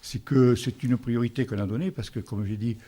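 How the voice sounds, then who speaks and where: A man, the mayor of a village, presenting a project at a public meeting and answering residents' questions in a halting way, often restarting his sentences. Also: medium pitch, steady tone, dark tone. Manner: halting, restarts, rounding figures loosely.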